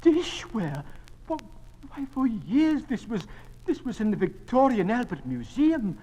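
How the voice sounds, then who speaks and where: A man's voice: extremely high pitch, sing-song, unhurried, warm tone, husky, exaggerated voice. An actor playing a timid, genteel, flustered male museum curator in a radio drama, hesitant and stammering. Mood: anxious, shocked. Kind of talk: 1950s radio drama acting.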